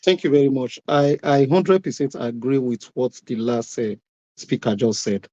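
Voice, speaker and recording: A man's voice: medium pitch, moderate pace, mellow. A Nigerian-accented man argues his point on a call-in line, firing rhetorical questions and stumbling over repeated words as he pushes on. His voice sits in a middle register. The online call audio is dull and lo-fi. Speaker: participant on a call-in broadcast. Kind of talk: heated debate contribution.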